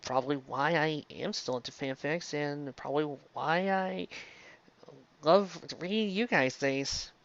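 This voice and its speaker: Chatty, rambling reviewer, dull lo-fi sound. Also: mid-range voice. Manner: digressive, chatty, stumbles and restarts mid-phrase. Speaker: fan fiction reviewer. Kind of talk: casual rambling review monologue. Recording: dull lo-fi close dry recording.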